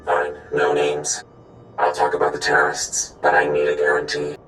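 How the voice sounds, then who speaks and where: A man's voice: a bass voice, monotone, moderate pace, fairly bright tone, reverberant, soft background music. An actor playing a suspicious, demanding male informant who speaks in a terse, distrustful way in a video game. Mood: wary, irritated.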